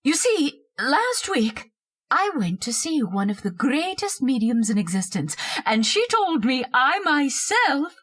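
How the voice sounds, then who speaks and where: An actress playing a pompous, bossy older society hostess in a radio play, with a theatrical, affected, self-satisfied way of talking. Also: female voice, sits high, big pitch swings, unhurried, a bit bright, husky, heavily acted. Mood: grandly solemn, commanding. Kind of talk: old-time radio horror-comedy acting.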